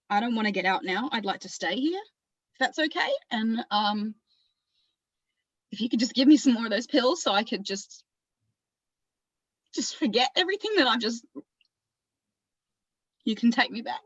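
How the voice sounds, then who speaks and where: A woman's voice: a little high, animated, slightly rough, dry recording, lo-fi sound. A woman playing an agitated, pleading psychiatric patient with an Australian accent in a dramatic stage monologue, volatile and repeating herself. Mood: subdued pleading. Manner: volatile, confrontational, halting and repeating.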